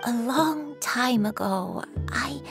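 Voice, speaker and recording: A woman voicing a gentle, frail, forgetful elderly granny in a video game, hesitant and wistful. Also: fairly high pitch, lively intonation, slow, over music. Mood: wistful, halting.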